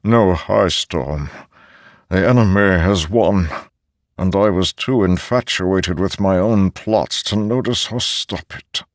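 A man voicing an aged, weary immortal herald in an audiobook, with a solemn, deliberate and strained delivery. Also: sits low, no room sound, clean studio sound. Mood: tired, defeated.

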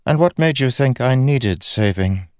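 A man voicing a stern, no-nonsense townlord's wife who talks in a brisk, scolding manner. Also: mid-range voice, animated, a little mellow, no room sound, studio quality, voice pushed up, close to an everyday voice. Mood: curt.

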